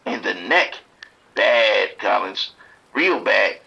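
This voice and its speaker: An actor playing a streetwise pimp trapped in a motel bathroom, blunt and grudging with the police. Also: male voice, on the low side, expressive, takes it slowly, vocal fry, pushed and bright, husky, muffled recording, heavily acted. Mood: shaken. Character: streetwise male pimp hiding from attackers, bitter toward police.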